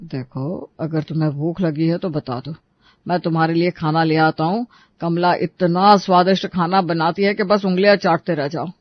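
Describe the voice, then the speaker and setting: A man's voice: fairly high pitch, steady tone, moderate pace, no room sound, lightly acted. A man voicing a placating, reasonable family man in a dramatised household story, on a slightly muffled recording. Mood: persuading. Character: reasonable, pleading men of an Indian household.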